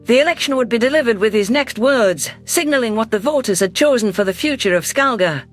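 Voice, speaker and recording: A man's voice: very high-pitched, lively intonation, brisk, fairly bright tone. A man reading a science fiction story aloud as a dramatic first-person narration. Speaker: audiobook narrator. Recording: music bed under voice.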